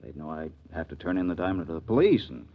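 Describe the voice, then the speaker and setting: A man's voice: very deep voice, expressive, fast, lo-fi sound, heavily acted. An actor playing a nervous, fretful male pawnbroker in a 1940s radio drama, hesitant and apologetic in manner.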